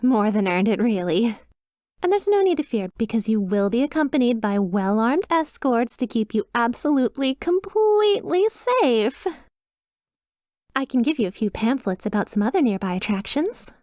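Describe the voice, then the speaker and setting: A woman's voice: a little high, big pitch swings, normal speed, a little mellow, no echo. Woman acting a polite, slyly sinister demon receptionist, close and dry. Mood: bright, reassuring. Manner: polished customer-service politeness, reassuring, faintly apologetic. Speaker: hotel receptionist character. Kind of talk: scripted ASMR roleplay.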